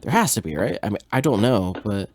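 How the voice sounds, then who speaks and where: A man's voice: lowish voice, big pitch swings, brisk, a little hiss or hum. A man chatting casually as a podcast host, commenting on an old radio play. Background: loud music and old radio drama playback.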